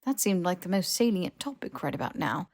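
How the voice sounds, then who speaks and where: A woman's voice: on the low side, very animated, brisk, a little mellow, dry recording. A woman reading scripted fiction as an audiobook narrator.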